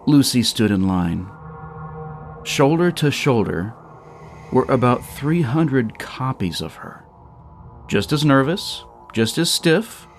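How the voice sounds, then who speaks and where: A man narrating a scripted short story in a dramatic storytelling style. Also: mid-range voice.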